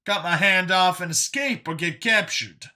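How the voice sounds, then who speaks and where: A man voicing an earnest older male escaped captive in an audiobook, explaining past hardship in a halting, emphatic way. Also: sits high, sharp tone, voice pushed up. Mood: matter-of-fact. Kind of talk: audiobook character dialogue.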